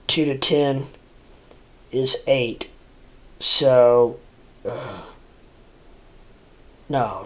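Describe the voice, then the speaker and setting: Slow, rambling, stuffy-sounding man. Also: medium pitch. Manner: slow, halting, full of fillers and restarts. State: stuffy, congested. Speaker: crypto livestreamer. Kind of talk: rambling casual livestream chat. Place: home room, seated at a desk.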